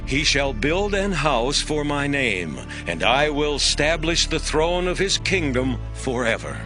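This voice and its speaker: A man playing a solemn, authoritative prophet in a dramatized audio Bible, with measured, declamatory delivery. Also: a little high, big pitch swings. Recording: clear music bed behind voice.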